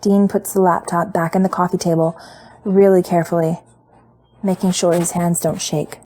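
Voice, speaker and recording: Mellow low-voiced woman narrating a story. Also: little pitch movement. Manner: measured, intimate storytelling. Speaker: podfic reader. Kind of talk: fan fiction audiobook narration.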